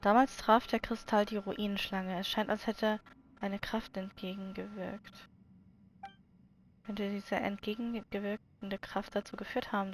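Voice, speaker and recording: Female gaming streamer, informal and thinking aloud, medium pitch, slight background noise. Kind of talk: casual let's play commentary.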